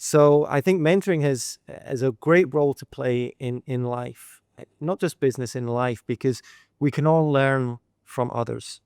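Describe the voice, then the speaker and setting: A middle-aged Scottish man talks as a leadership coach, reflective and steady. His voice sits at a middling pitch. The sound is dry and close, with no room around it. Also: measured pace, smooth, no echo. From Scotland. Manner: reflective, steady, uses sayings and examples. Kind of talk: measured podcast interview answers. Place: Dubai.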